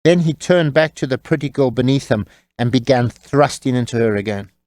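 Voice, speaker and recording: A man narrating a scripted audiobook story in a measured, wry storytelling manner. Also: medium pitch, a little mellow, dry recording.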